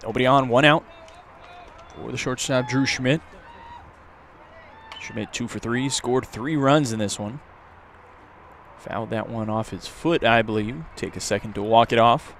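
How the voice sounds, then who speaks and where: Male sports broadcaster, mid-range pitch, live baseball play-by-play, audible outdoor noise. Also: unhurried, pauses often, a bit bright. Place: outdoors at a ballpark. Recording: clear outdoor ambient noise.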